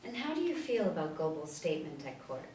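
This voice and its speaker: Probing newswoman read by a woman, deep female register, scripted drama, echoey room. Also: sing-song, fast talker, dark tone. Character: probing female television news interviewer. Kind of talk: scripted table reading. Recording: roomy, distant mic, light room noise.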